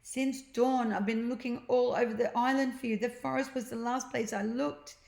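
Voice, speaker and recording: A woman's voice: a little high, fairly even intonation, moderate pace, vocal fry, a little mellow, voice pushed up. A woman voicing a bossy but tender young girl, a storybook big sister, with emotional, emphatic delivery. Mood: earnest. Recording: echoey, roomy home recording.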